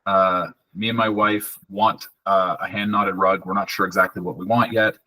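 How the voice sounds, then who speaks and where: A man's voice: low voice, level tone, moderate pace, bright, forceful tone, dry recording, rough recording. A man hosting a podcast, asking questions in a laid-back, conversational way with fillers. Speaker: podcast host. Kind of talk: casual interview.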